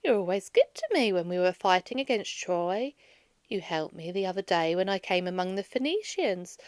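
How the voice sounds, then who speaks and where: A woman's voice: on the low side, sing-song, moderate pace, clean tone, no room sound, clean studio sound. A woman voicing a wary, weary wandering Greek hero from a children's storybook, emphatic and pleading. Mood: respectful, doubtful.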